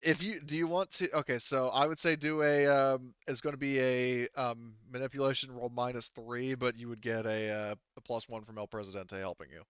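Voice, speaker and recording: A man's voice: medium pitch, steady tone, moderate pace, few pauses, fairly bright tone, clean tone, no room sound, dull audio. A man casually running a tabletop roleplaying game as game master, improvising as he goes.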